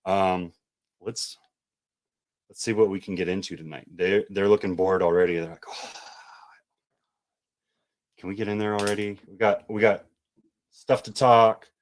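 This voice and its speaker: A man hosting a casual podcast, talking in a relaxed, rambling way with lots of fillers. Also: sits low, measured pace, long pauses. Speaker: podcast host.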